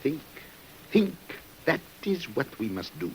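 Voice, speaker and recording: A man's voice: a little high, big pitch swings, slow pace. A man playing a determined, brooding police commissioner, declamatory and emphatic, in a mid-century radio drama. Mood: brooding, insistent. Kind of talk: 1950s radio drama acting. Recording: noisy old radio recording.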